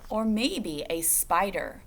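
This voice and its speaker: A woman's voice: medium pitch, big pitch swings, slow pace, noisy background. A woman reading a picture book aloud to children like a teacher, phrasing expressively and putting questions to her young listeners.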